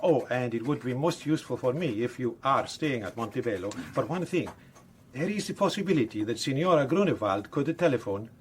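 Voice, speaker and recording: An actor playing an earnest, matter-of-fact Italian police officer in a radio drama, speaking deliberate, slightly stilted English. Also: male voice, mid-range voice, animated, a little hiss or hum, natural voice. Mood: polite, official. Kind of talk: naturalistic radio drama acting.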